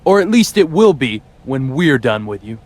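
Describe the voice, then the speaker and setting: A man's voice: mid-range voice, sing-song, normal speed, a little mellow. A man playing a cocky, sneering henchman wrestler in a scripted video game cutscene. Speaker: wrestler character.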